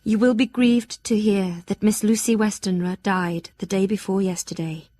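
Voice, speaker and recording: An actress playing an earnest, anxious young Victorian gentlewoman in an audio drama, narrating her journal in a formal, heartfelt, measured way. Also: medium pitch, steady tone, no echo, natural voice. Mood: troubled.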